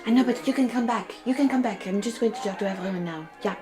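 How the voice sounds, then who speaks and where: An actress playing a tough but fond chief stagehand in a radio drama, with an earnest, halting, familiar manner. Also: female voice, medium pitch, loud music behind the voice, natural voice. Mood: brisk, busy. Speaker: actor in an audio drama. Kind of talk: naturalistic radio drama acting.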